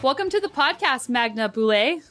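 A woman's voice: high voice, animated, moderate pace, music in the background. A woman hosting a podcast, talking in an informal, chatty way as she introduces and interviews. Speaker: podcast host.

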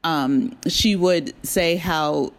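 Woman writer chatting in an interview, lowish voice, thinks as she goes, halting. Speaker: audio drama writer, interview guest. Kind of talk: casual conversational interview.

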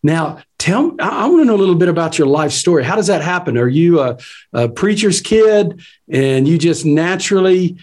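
A man's voice: fairly high pitch, animated, a little fast, darkish tone. A man hosting a faith interview, talking in a casual, folksy, emphatic way. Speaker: interviewer, preacher. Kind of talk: faith talk show interview.

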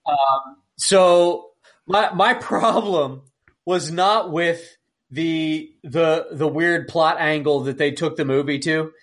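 A man's voice: on the high side, slow, fairly bright tone. A man co-hosting a podcast, chatting casually about films in an exclamatory, incredulous way. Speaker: podcast co-host. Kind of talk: casual film discussion.

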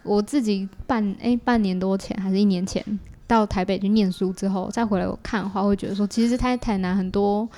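A woman's voice: medium pitch, steady tone, brisk, few pauses, dark tone. Female guest, Taiwanese Mandarin accent, mid-range voice, casual explanatory chat with frequent agreeing interjections. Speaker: podcast guest, creator of audio works.

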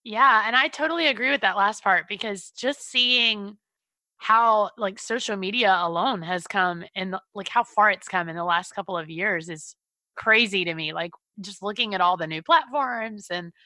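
A woman's voice: mid-range voice, moderate pace, pushed and bright, dry recording. A woman hosting a podcast, chatting in an upbeat, gushing way. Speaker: podcast host. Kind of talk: casual interview chat.

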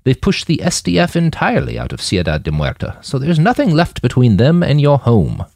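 A man voicing a blunt, wry old grandmother who leads with dry, measured explanations in an audiobook story. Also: mid-range voice, sing-song, gravelly, darkish tone, dry recording, pitched above the performer's own voice. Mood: businesslike. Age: older. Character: eldest old woman leader, wry, blunt, matter-of-fact grandmother.

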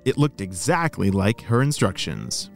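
A man's voice: lowish voice, wildly swooping pitch, measured pace, creaky, soft background music. A man hosting a children's story podcast, narrating and explaining things simply to young listeners.

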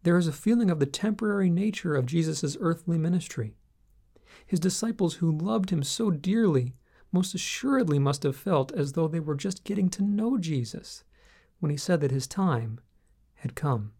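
Man, soft-edged warm tone, scripted Bible teaching, measured phrasing, solemn, earnest. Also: fairly high pitch, expressive. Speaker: Bible teacher reading own article. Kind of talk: scripted devotional teaching, read aloud.

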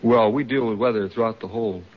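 A man from Seattle giving polite, halting answers as a contestant on an old radio show. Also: lowish voice. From Seattle, United States. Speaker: contestant, weather forecaster. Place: radio studio with audience. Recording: dull old radio recording, faint audience.

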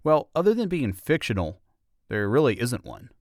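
A man's voice: mid-range voice, big pitch swings, normal speed, no room sound. A man hosting a podcast, delivering scripted documentary-style narration.

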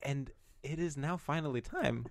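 A man co-hosting a podcast in casual chat, telling stories with quick asides. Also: fairly high pitch, vocal fry, dry recording, studio quality. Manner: animated storyteller, quick asides. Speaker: podcast co-host.

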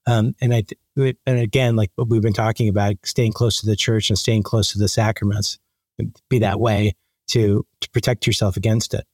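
Halting male interview guest, dry sound. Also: on the low side, little pitch movement, darkish tone, dry recording. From born in the Dominican Republic. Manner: halting, thinking aloud with repeated false starts. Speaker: interview guest, magazine editor. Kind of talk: conversational interview.

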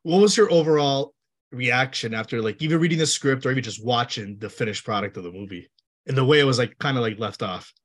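Fast-talking male interview host. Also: mid-range voice. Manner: brief affirming backchannels, upbeat questions. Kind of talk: casual interview questions.

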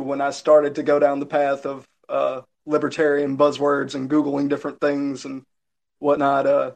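A man with a Southern American accent, speaking as a guest in a casual podcast conversation. His voice has a mid, ordinary pitch, and he talks in a well-spoken, reflective way. He often stops and restarts his sentences while thinking aloud. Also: vocal fry. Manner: articulate, thoughtful, with halting restarts.

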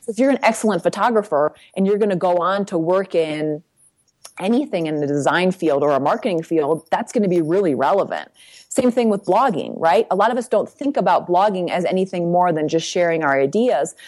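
A woman with a somewhat low voice speaks quickly and practically, giving career advice. She peppers her points with quick "right?" check-ins. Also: expressive, a little mellow. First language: English. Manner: brisk, practical, tag questions like "right?". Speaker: guest career coach. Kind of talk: advice interview.

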